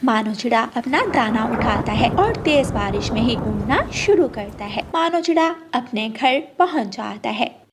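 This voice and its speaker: A woman's voice: on the high side, lively intonation, unhurried, background noise. A woman narrating a children's story from a script.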